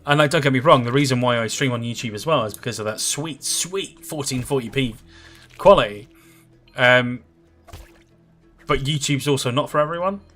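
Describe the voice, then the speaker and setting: A man's voice: medium pitch, normal speed, a bit bright, soft background music. A man in his thirties chatting casually with viewers while he livestreams a game, rambling with lots of fillers and answering comments. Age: 30s.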